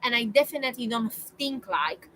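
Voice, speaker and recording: High, bright-voiced woman coach. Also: animated, moderate pace, rough recording. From Poland. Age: 40s. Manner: emphatic, repeats phrases for urging. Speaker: spiritual coach. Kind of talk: motivational monologue to viewers.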